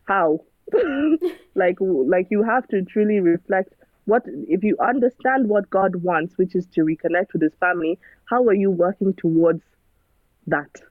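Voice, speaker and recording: A woman's voice: on the low side, normal speed, dry recording. Earnest woman, tinny phone-like sound. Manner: earnest, preachy, rhetorical questions. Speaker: guest. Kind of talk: casual conversation. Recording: dry, phone-like narrow sound.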